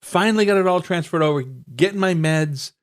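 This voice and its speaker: A man's voice: a little high, a little fast. A man co-hosting a casual podcast, stuffed up, talking in a profane, rambling way with stammered restarts and laughs. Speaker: podcast co-host. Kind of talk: casual banter.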